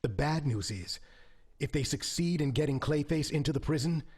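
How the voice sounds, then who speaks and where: A man playing a grave, cautious young hero and team leader in an audio drama, speaking formally with a husky voice. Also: a little high, moderate pace, darkish tone. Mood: firm, cautious. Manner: formal, precise. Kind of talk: amateur audio drama acting.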